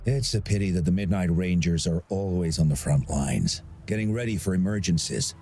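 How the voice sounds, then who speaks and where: A man's voice: low-pitched, normal speed, faint music behind. An actor playing a genial, talkative older man who directs a sporting festival in a video game. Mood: nostalgic. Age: middle-aged. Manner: genial, chatty, expressive.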